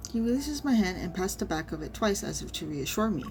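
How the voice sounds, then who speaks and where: A woman streamer reading a game story aloud and reacting casually, in an expressive manner. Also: mid-range voice, fast talker, gravelly, music in the background.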